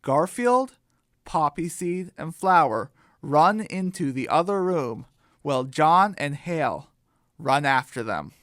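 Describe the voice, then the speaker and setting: Guy narrating a silly audio story, kinda high voice, really unhurried, dry recording. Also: expressive, fairly bright tone, no room sound.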